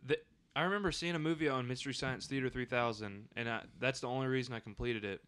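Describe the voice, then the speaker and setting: A man's voice: mid-range voice, fast, fairly bright tone, clean tone. A man speaking as a podcast guest, telling things in a loose, conversational way. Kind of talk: casual storytelling chat.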